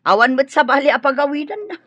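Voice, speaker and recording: A woman playing a nagging, callous housewife in a radio drama, with a sharp, complaining delivery. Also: high-pitched, animated, fast, no echo. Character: nagging, callous housewife who exploits a girl's chores.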